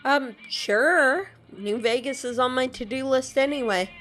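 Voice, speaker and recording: A woman's voice: sits high, a little slow, a bit bright, faint music behind. A woman playfully voicing her own character while playing a game, teasing and giggly. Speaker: gameplay narrator voicing the player character. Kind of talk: casual role-play while playing a game.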